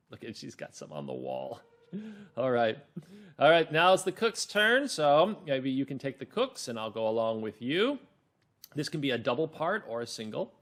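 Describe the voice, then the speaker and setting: A man's voice: medium pitch, fairly bright tone. A man leading a read-along lesson for children, explaining things simply and expressively.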